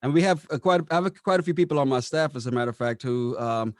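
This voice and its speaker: A man's voice: mid-range voice, fast, no echo, clean studio sound. A man answering interview questions conversationally, explaining things and often posing rhetorical questions as he goes. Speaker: radio operations manager being interviewed.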